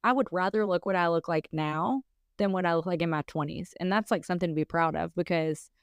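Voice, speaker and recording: Smooth-voiced woman in her thirties, chatty. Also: on the low side, fairly quick, no echo, studio quality. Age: 30s. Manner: candid, filler-heavy, laughs while talking. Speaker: podcast co-host. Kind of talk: casual chat.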